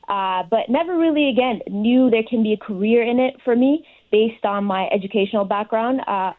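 A woman's voice: medium pitch, darkish tone, low-quality recording. A young adult woman speaking as an interview guest, giving conversational answers. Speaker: interview guest, sports fellowship participant.

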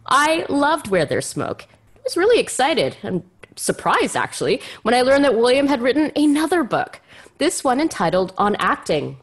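Lady podcast host, really animated pitch, gushy emphatic delivery. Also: moderate pace. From Canada. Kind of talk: scripted podcast introduction and interview.

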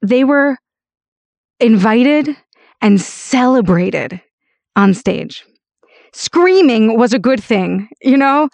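An American woman with a raspy voice, telling personal stories emphatically and conversationally as an interview guest. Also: a little high, no echo. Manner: emphatic, peppers talk with "like" and "you know". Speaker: interview guest, actor and voice-over artist.

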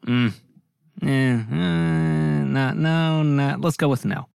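Male podcaster, creaky lowish voice, casual chat, dry studio sound. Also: takes it slowly, a little mellow, no room sound. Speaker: podcast team member.

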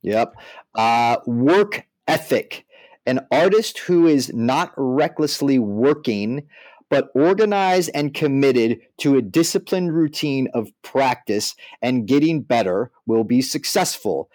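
A man co-hosting a podcast, making his points with deliberate, emphatic phrasing. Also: medium pitch, lively intonation, darkish tone, no echo, rough recording. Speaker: podcast co-host. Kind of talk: podcast discussion, mixing read-out points with casual commentary.

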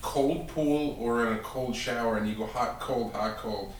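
A man's voice: mid-range voice, a little slow, distant mic. A man cohosts a laid-back podcast, teasing and joking. His voice sits in the middle range. He sounds set back from the mic in a very echoey space with faint noise. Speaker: podcast co-host. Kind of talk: casual podcast chat.

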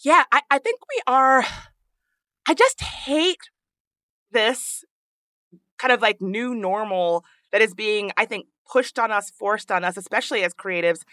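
A woman with a high voice, hosting a podcast in casual, earnest discussion, thinking aloud with frequent restarts. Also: lively intonation, unhurried, sharp tone, no echo, clean studio sound. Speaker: podcast host.

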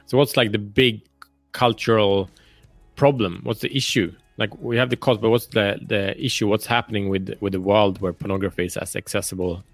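A man's voice: on the low side, steady tone, normal speed, no echo, soft background music. A man hosting a motivational interview show, speaking earnestly and repeating words for emphasis. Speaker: podcast host interviewing a guest.

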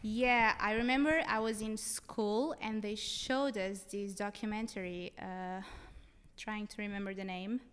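A woman's voice: medium pitch, fairly even intonation, a little slow, fairly bright tone, smooth. A young woman from Portugal with a Portuguese accent, telling her story casually as a podcast guest. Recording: reverberant room with long echo.

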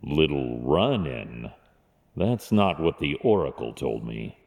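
A man playing a stern, brooding masked vigilante in an audio drama, speaking gravely in clipped, commanding phrases with a gravelly voice. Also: a bass voice, big pitch swings, slow, narrow, tinny audio, exaggerated voice. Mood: grave, concerned. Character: brooding, stern adult male masked vigilante and wealthy guardian. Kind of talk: fan audio drama acting. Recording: long hall-like reverb.

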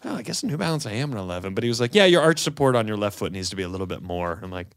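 A man's voice: fairly low pitch, racing, smooth, no room sound. A man co-hosting a comedy podcast, acting out the people in his stories as he tells them. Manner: animated storyteller, acts out both sides of conversations. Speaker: podcast co-host. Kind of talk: casual comedic chat.

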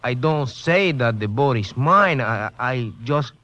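An actor playing an evasive, nervous Mexican man in a 1950s radio drama, with halting, stammering broken English and a Mexican accent. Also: medium pitch, expressive, pushed and bright. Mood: anxious confessing. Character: evasive, nervous Mexican man living in a poor river hut. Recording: muffled, band-limited 1950s radio broadcast.

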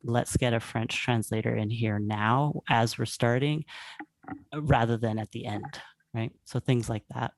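A man's voice: medium pitch, fairly even intonation, measured pace, no room sound. Guy from canada on a panel, earnest, lots of ums, dry sound. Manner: earnest, thoughtful, with frequent fillers. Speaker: panel guest. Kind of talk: casual panel discussion.

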